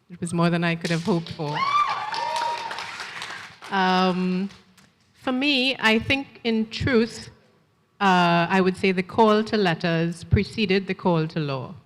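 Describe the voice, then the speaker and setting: Woman author, lower voice. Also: big-room sound. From Trinidad. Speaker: author on a panel. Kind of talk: festival panel conversation. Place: festival event hall.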